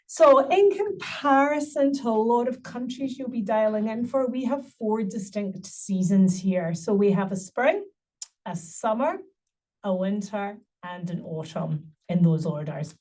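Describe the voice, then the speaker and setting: A woman's voice: medium pitch, a little mellow, recorded from a distance, rough recording. A middle-aged woman giving an upbeat university webinar presentation, promoting her city with frequent ums.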